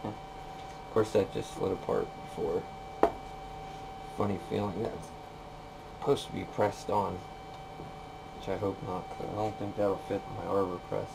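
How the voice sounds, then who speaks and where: Low, gravelly man talking through shop work. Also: little pitch movement, a little slow, long pauses. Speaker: machinist demonstrating a repair. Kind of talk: casual off-the-cuff shop commentary. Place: workshop, recorded while working on a machine. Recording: noisy workshop recording.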